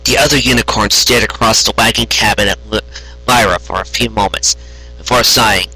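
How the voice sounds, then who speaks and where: Male narrator, very bright forceful tone, slightly husky, expressive storybook reading. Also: fairly low pitch, brisk, low-quality recording. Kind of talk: expressive fan fiction narration, read aloud.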